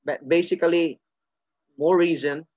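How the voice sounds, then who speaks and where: A man's voice: fairly high pitch, fairly even intonation, a little slow, a little mellow, no room sound. A middle-aged man preaching a sermon earnestly, often restarting and repeating phrases. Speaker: pastor. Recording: dull lo-fi sound.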